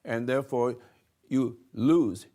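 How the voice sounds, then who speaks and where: An older man slowly giving a rambling spiritual talk to a room, halting and restarting, swinging between soft and loud. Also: mid-range voice. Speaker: spiritual teacher hosting a talk.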